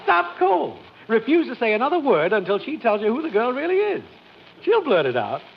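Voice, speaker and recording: A folksy American neighbour in vintage radio comedy, dry and teasing. His high voice swings widely in pitch, full of self-satisfied schemes. It comes through a muffled, slightly noisy old recording. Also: huge pitch swings, fairly quick, creaky, heavily acted. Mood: scheming. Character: wry, teasing, self-pleased male neighbour and pal. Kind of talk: 1940s radio sitcom acting.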